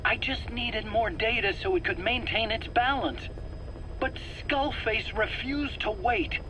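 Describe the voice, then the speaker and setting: Rambling game scientist, bright edgy voice, defensive, stammering, hesitant, faint background noise. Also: male voice, high voice, rough recording. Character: defensive, rambling male weapons scientist under interrogation. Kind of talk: dramatic video game voice acting.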